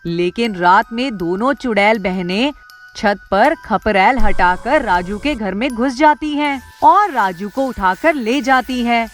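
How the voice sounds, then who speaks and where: A woman's voice: on the high side, expressive, slow. A woman reading scripted story narration in a storyteller's role. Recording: music behind the voice.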